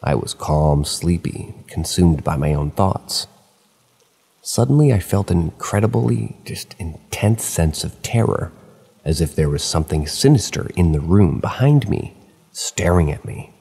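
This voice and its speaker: Man, very low voice, husky, steady story reading, dry asides, long reverb tail. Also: a little slow. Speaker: narrator of online horror stories. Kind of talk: reading stories aloud.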